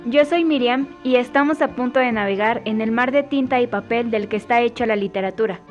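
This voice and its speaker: Lo-fi Mexican female announcer, fairly high voice. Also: a little fast. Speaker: radio co-host. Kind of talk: scripted radio show intro. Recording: dull lo-fi sound, music behind.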